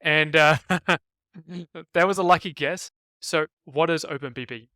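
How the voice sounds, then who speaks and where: A man hosting an interview, firing off brisk, upbeat rapid-fire questions. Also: on the high side, bright, forceful tone, no room sound, studio quality. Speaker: interview host.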